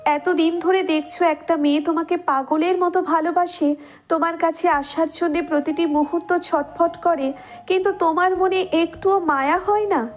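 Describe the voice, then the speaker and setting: A woman's voice: extremely high pitch, fairly even intonation, moderate pace. A woman voicing an impassioned, reproachful young bride in love in a romantic audio drama. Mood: hurt, angry.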